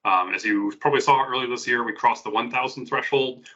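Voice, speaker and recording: A man speaks quickly with a bright, forceful tone, rattling off community resources in an upbeat promotional manner. His voice comes through a slightly muffled, lo-fi line. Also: mid-range voice. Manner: brisk, upbeat promotional rundown. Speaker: community call co-host. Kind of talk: online community call announcements.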